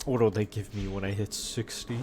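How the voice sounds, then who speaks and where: A man's voice: on the low side, steady tone, moderate pace. A man giving casual gameplay commentary, muttering to himself in short phrases as he plays. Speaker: game streamer. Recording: game music behind. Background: video game music and effects.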